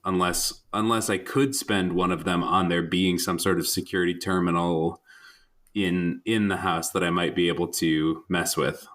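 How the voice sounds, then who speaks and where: Low-voiced man playing a tabletop game. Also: fairly even intonation, normal speed, a bit bright. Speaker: player in a tabletop roleplaying game. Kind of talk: casual improvised game narration.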